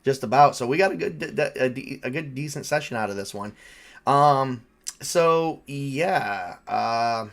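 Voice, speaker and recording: A man's voice: mid-range voice, lively intonation, a little slow. A man running a tabletop role-playing session as game master, talking casually and repeating phrases as he thinks aloud. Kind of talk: casual unscripted game narration and chat.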